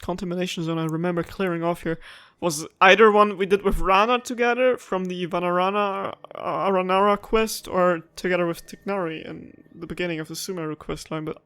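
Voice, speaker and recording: A man's voice: high voice, steady tone, a little fast, a bit bright, clean tone, no echo. A man giving casual let's play commentary as a gaming streamer.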